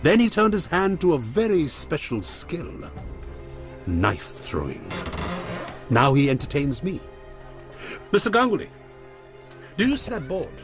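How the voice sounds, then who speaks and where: Radio villain: courteous, menacing gang boss with animated pitch, formal phrasing, faint music underneath. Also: male voice, heavily acted. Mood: suave menace. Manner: formal, deliberate, threatening. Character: menacing, courteous crime boss toying with his guests. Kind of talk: radio drama acting.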